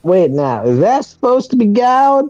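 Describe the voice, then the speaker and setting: A man's voice: very high voice, sing-song, a little slow, darkish tone, full character voice. A man voicing a blunt, crude party member in an amateur game fandub, on a dull lo-fi mic. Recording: dull lo-fi mic, music underneath.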